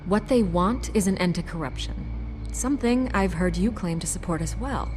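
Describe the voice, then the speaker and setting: Woman acting low-voiced, skeptical female reporter. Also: moderate pace, darkish tone, a little hiss or hum, lightly acted. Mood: defiant, cool. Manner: wry, pointed, assertive. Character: confident, skeptical female investigative reporter, principled and challenging. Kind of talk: video game voice acting. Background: prominent music.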